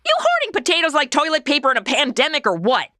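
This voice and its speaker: A woman's voice: sits high, huge pitch swings, quick pace, bright, forceful tone, no echo, clean studio sound, exaggerated voice. A woman playing a sassy, food-obsessed modern young woman in a comedy audio drama, cracking snarky wisecracks.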